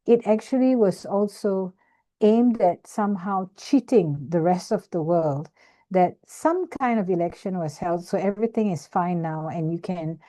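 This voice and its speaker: A woman's voice: lowish voice, a little slow, mellow, no room sound. An older activist woman from Malaysia speaking on a video call webinar, hesitating often with ums and restarts. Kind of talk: casual presentation over video call.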